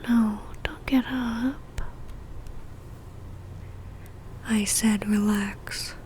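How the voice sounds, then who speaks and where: A woman plays a lovestruck female vampire stalker in an ASMR roleplay, whispering softly and breathily right up at the mic. Her voice is high and barely rises or falls, moving slowly and intimately as she coaxes with possessive tenderness. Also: fairly even intonation, a little hiss or hum. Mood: coaxing, possessive. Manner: slow, intimate, coaxing. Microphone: close ASMR microphone.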